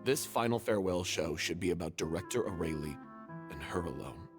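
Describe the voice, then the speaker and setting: A man's voice: sits low, expressive, fairly quick, over music. A man playing a regretful, self-doubting stage performer in a video game, earnest and anxious. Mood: solemn, remorseful.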